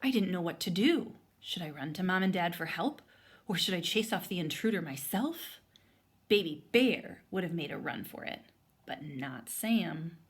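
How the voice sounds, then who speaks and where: A woman voicing an indignant young boy bear who narrates a children's storybook in an emphatic, expressive way. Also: fairly low pitch, normal speed, close to an everyday voice. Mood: dramatic, eager. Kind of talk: animated children's story read-aloud.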